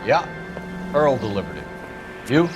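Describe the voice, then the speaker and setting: An earnest young American police detective in a cinematic game. His lines are clipped and serious, yet the pitch moves around widely. Music sits behind his middle-register voice. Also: big pitch swings, a little slow, muffled recording. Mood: guarded. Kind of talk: cinematic game drama acting.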